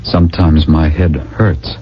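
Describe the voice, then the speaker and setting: A man's voice: extremely low pitch, level tone, slow, slightly rough, full character voice. A man in a radio drama playing a dazed, subdued man, hesitant in his speech. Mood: dazed, troubled. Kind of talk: 1980 radio drama acting. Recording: dull old radio recording, faint hum.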